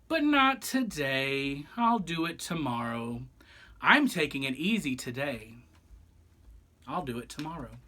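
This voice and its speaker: A man's voice: on the high side, sing-song, a little slow, pushed and bright, full character voice. A man voicing a lazy, easygoing female goose in a picture-book read-aloud, breezy and unbothered with sighs and grumbles. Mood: grumbling.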